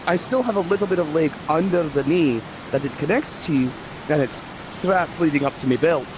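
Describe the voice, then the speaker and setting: Man playing an earnest peg-legged swordsman, higher-pitched, hissy. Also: a little fast, lightly acted. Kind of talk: improvised in-character roleplay in a fantasy game. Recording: hissy, noisy live game voice chat.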